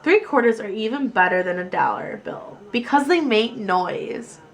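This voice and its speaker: A woman voicing an earnest, excitable little schoolgirl from a storybook, speaking emphatically and dramatically. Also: a little high, normal speed. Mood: excited.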